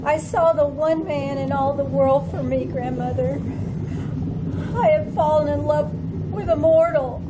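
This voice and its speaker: A woman acting a lovesick, sorrowful young mermaid in old-time radio drama style, pleading with dramatic pauses. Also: sits high, fairly even intonation. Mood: lovelorn. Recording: noisy live theater stage recording.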